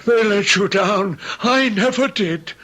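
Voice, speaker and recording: An actor playing an obsessively devoted ex-commando manservant, middle-aged, deferential and quietly menacing, in a radio mystery drama. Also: male voice, high-pitched, takes it slowly, exaggerated voice. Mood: confessing.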